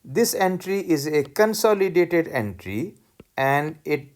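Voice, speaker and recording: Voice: mid-range voice, very animated, darkish tone. Instructional lecturer explaining step by step. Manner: didactic, deliberate step-by-step explaining. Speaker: accounting lecturer.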